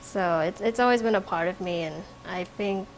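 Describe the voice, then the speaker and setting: A woman's voice: mid-range voice, normal speed, rough recording. Young woman, reflective, over background noise. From from Vietnam, raised in America from age four. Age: young adult. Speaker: art student and painter. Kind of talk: informal spoken artist profile.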